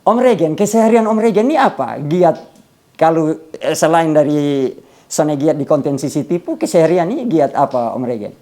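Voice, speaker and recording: A man with a fairly high voice and an eastern Indonesian Kupang Malay accent. He hosts a casual chat interview. He tends to repeat what he has just been told. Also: animated, normal speed, warm tone. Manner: often echoes the guest's answers back. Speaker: host of an interview show.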